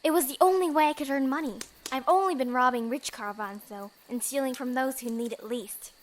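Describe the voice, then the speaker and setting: Woman playing an earnest, high-voiced young bride-to-be. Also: brisk, slight background noise, close to an everyday voice, rough recording. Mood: confessing. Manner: earnest, pleading, dramatic. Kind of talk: audio drama acting.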